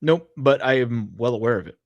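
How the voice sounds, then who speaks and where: A man's voice: medium pitch, lively intonation, fairly quick, darkish tone, no room sound, lo-fi sound. Man in casual podcast chat, dry sound. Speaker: podcast co-host.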